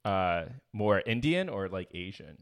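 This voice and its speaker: A man with a low voice talks casually as a podcast co-host. He thinks aloud in an analytical, slightly hesitant way. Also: big pitch swings, measured pace, clear, resonant tone. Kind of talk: casual chat.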